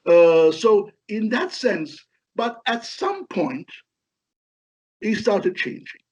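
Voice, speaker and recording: A middle-aged man from Lebanon giving halting, explanatory answers as an academic expert in an interview. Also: high-pitched, sing-song, breathy edge. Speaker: history professor, interview guest. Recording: dull, lo-fi call audio.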